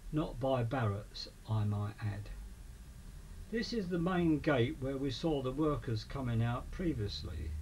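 A man with an English accent reading a scripted local history documentary in a measured manner. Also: medium pitch, a little mellow. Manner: measured, listing names and dates. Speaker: narrator of a local history film. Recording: clearly noisy amateur voice-over recording.

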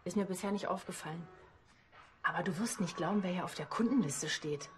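A woman's voice: on the low side, brisk, lightly acted. An actress playing an earnest, concerned young policewoman in a TV crime drama. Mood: businesslike.

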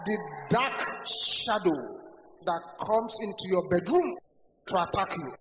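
An older man as a pastor, leading a congregation in loud prayer with shouted commands and bursts of tongues. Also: sits high, big pitch swings, slow pace, faint music behind. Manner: speaks in tongues between prayers. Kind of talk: fiery prayer session sermon. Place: large church hall. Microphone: stand microphone at pulpit. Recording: echoey hall with noisy congregation.

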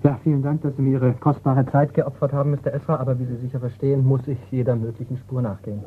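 A man's voice: medium pitch, little pitch movement, quick pace, natural voice. Polite, persistent investigator played by a man, tinny sound. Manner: courteous, probing. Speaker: actor in a radio crime play. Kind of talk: German radio drama acting. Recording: tinny, noisy radio recording.

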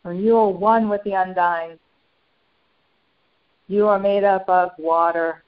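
A woman channeling a serene, benevolent spiritual master, guiding a meditation in slow, short phrases with long pauses. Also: mid-range voice, steady tone, clean tone, close to an everyday voice. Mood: serene, reverent. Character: serene, benevolent ascended master St. Germain addressing followers. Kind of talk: guided meditation. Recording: dull lo-fi sound.

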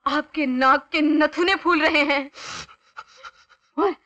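A woman's voice: sits high, fairly even intonation, slow pace, bright, forceful tone, muffled recording, heavily acted. A woman playing a cheeky young woman who teases a man into losing his temper, with a sly, mock-worried manner. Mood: teasing.

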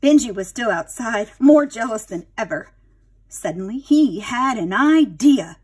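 A woman reads a children's picture book in a high, slightly breathy voice. She takes it slowly and dramatically, speaking to young listeners. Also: expressive, darkish tone. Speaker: teacher reading to children. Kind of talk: expressive children's storybook narration.

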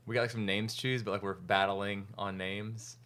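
A man in his thirties hosts a podcast in a relaxed, chatty way, often laughing and trailing off with fillers. His voice sits a little low, recorded close on a studio boom mic in a small office studio. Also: normal speed. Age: 30s. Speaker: podcast host, restaurant owner. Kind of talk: casual chat. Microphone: large studio mic on boom arm.

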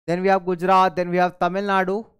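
A young man, a native Hindi speaker, giving a recorded class lecture in a studio on a lapel mic, lively and thinking aloud as he goes. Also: high voice, fast, smooth. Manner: energetic, gestures at screen, thinks aloud. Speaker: teacher. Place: studio in front of a large screen.

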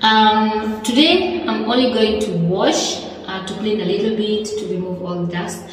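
A woman in her thirties giving a casual, excited tour of her new apartment, filmed on a phone. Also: mid-range voice, measured pace. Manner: excited, simple repeated phrases. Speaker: vlogger. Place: empty apartment with bare rooms. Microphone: phone camera. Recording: echoey empty rooms.

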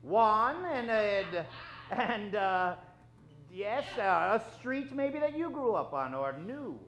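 A man's voice: high voice, big pitch swings, takes it slowly, fairly bright tone, a little hiss or hum. A middle-aged man hosting a live improvised radio show on a theater stage, in a theatrical, courteous old-time announcer style. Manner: theatrical, hesitant, courteous showman patter. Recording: dull, lo-fi live stage recording.

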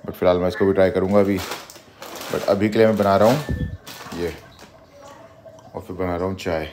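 A man with a fairly low voice vlogging on the road, talking straight to his viewers in a loose, playful way. He drops English words into easy, joking chatter. A faint hiss sits under the recording. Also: steady tone, moderate pace, darkish tone. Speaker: travel vlogger. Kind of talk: casual vlog chatter to viewers.

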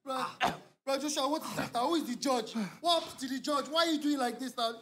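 A man playing a very high-voiced tearful brother. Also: fast talker, slight background noise. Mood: frantic. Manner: soft pleading, then frantic shouting. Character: tearful younger brother visiting in jail. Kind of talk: comedy skit acting.

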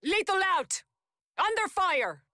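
A tough, confident soldier heroine from a video game, calls out short battle orders. Her voice is high and bright, swinging very widely in pitch. She sounds forceful and protective. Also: sing-song, slow pace, no room sound, clean studio sound, exaggerated voice. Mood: urgent, commanding. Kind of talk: video game combat voice lines.

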